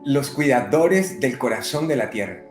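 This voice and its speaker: A man from Colombia, a medium and psychotherapist, speaking unhurriedly with many pauses in an interview. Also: medium pitch, animated, reverberant, over music. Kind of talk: conversational interview. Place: living in Brazil.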